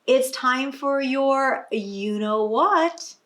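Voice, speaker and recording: Woman acting out storybook mom, firm, loving, worn out, drawn-out words, lively pitch. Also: full character voice. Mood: coaxing firm. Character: loving, worn-out mother, firm about bedtime.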